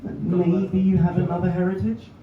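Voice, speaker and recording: A man's voice: high-pitched, level tone, normal speed, noisy background. A young English man doing a stand-up set with dry, self-mocking asides, recorded from a distance in a comedy club. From England. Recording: roomy, distant, slightly dull club recording. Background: audience laughter.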